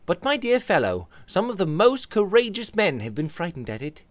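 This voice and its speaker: Man reading as an insistent young gentleman, raised voice, lively swoops, dry close sound. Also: sits high, moderate pace, dry recording, voice pushed up. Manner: pressing, fussing. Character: earnest, persistent young gentleman.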